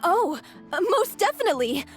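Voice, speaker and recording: A woman's voice: very high-pitched, very animated, normal speed, faint music behind. A woman voicing an eager, respectful member of a stage troupe in a video game.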